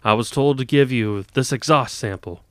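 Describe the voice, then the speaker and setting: A man's voice: mid-range voice, moderate pace, fairly bright tone, smooth, no echo, natural voice. A man voicing a naive, eager young male army private who proudly lists his errands.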